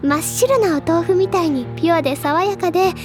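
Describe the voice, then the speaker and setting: A woman playing a bubbly, stubborn high school girl who gushes and lectures theatrically about literature in an audio drama. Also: fairly quick, faint music behind. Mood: gushing delight. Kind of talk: Japanese anime-style audio drama acting.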